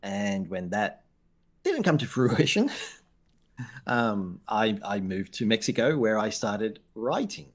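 A man's voice: on the low side, sing-song, slow pace. Laughing Australian man on a video call. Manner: self-deprecating, laughs often, repeats words when starting. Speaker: guest author. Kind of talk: casual video-call interview. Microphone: headset on video call.

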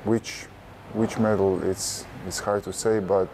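A young man from Croatia, a Croatian-speaking basketball player, answering in an interview in a large indoor arena on a lapel mic, halting and repeating words as he searches for phrasing. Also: on the low side, little pitch movement, background noise. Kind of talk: casual interview.

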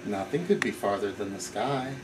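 A man's voice: lowish voice, lively intonation, moderate pace. A middle-aged man reading a bedtime storybook aloud to a baby. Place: small living room, indoors. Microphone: camcorder a few feet away. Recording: noisy home camcorder, room hum.

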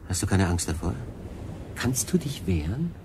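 An actor playing a stranded solo sailor in a radio drama, thoughtful, with a probing, deliberate, questioning manner. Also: male voice, low voice, sing-song, background noise. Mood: concerned. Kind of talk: German radio drama acting.

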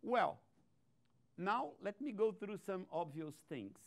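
Higher-voiced older Brazilian man lecturing. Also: slow pace. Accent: Brazilian Portuguese. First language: Portuguese. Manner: animated, often ending sentences with "okay?". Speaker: guest speaker, scientist. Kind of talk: conference lecture to an audience. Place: large university auditorium.

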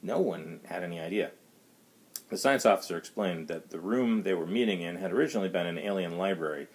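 A man in his fifties with a low-pitched voice reads fiction aloud. He narrates as the author of the story, indoors in a small study. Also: fairly quick. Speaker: author reading own story. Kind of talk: reading a science fiction story aloud, voicing dialogue.

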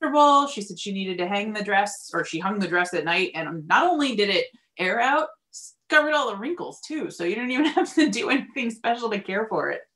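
A woman's voice: mid-range voice, sing-song, brisk, a bit bright. A woman in her forties telling stories in a casual video chat as a farm-owner guest. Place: indoors in a yarn shop lined with wool shelves. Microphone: computer mic on a video call.

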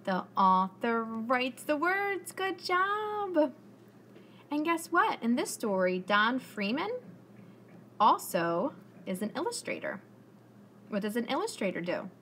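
Slow, animated woman preschool teacher. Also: on the high side. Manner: encouraging, expressive, deliberate. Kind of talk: children's read-aloud with questions. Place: indoors, school classroom. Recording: faint room noise.

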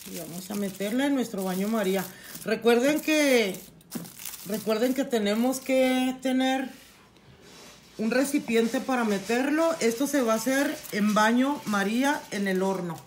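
A middle-aged woman explaining a recipe step by step in her home kitchen, unhurried and plain, with frequent restarts. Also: a little high, slight background noise. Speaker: home cooking channel host. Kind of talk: casual cooking tutorial.

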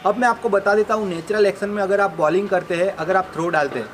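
A man's voice: high-pitched, steady tone, fast talker. A man, explaining technique step by step to camera as a sports coach, demonstrating as he talks. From India. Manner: demonstrating with gestures while talking, addressing viewers directly. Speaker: cricket bowling coach and video host. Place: open rooftop terrace outdoors in a city. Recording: outdoor ambient noise.

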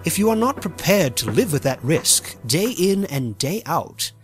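A man's voice: a little high, sing-song, a little slow, soft background music. A man voicing a suave, secretive animal leader in a cartoon fandub, speaking formally and wordily with polite menace. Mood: stern, measured. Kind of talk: dramatic comic fandub dialogue.

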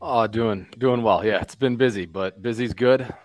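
A man chatting casually on a video call through a headset, relaxed and a little hesitant. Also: lowish voice, normal speed, dry recording, soft background music. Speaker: guest panelist. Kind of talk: casual chat.

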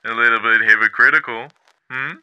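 A man's voice: mid-range voice, steady tone, brisk, sharp tone, clear, resonant tone, muffled recording. A man voicing a smug, grinning male demon overlord in a fan comic dub, talking in a theatrical, mocking, sing-song way. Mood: sly.

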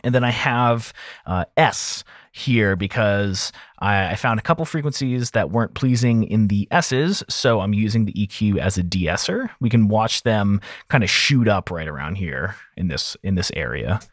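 A man's voice: fairly low pitch, lively intonation, normal speed, dry recording, clean studio sound. A man explaining audio mixing in a casual tutorial style, informal and thinking aloud as he goes.

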